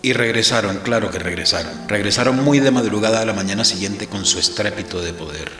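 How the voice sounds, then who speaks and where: An actor playing a grave, fatalistic captive priest who narrates his ordeal in a measured, theatrical, literary audio-drama style. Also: fairly low pitch, pushed and bright, echoey room, faint music behind. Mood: grave, brooding.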